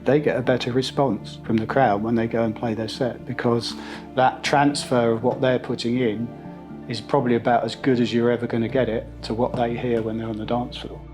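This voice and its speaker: Clear background music with guitar sits behind a middle-aged man giving an interview testimonial. His pitch is average for a man, and he talks in a conversational, reflective way with evident enthusiasm. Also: little pitch movement, a little fast. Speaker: sound system installer interviewee.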